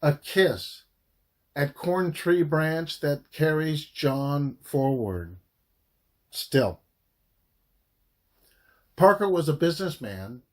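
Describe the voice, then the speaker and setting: Slow, expressive man narrating an audiobook. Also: a little high. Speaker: author reading own book aloud. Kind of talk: audiobook narration of historical fiction.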